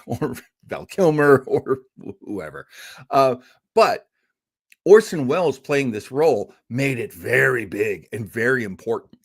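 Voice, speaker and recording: A man hosting a podcast, talking casually and enthusiastically about old radio history, chatty with fillers and asides. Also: mid-range voice. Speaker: podcast host.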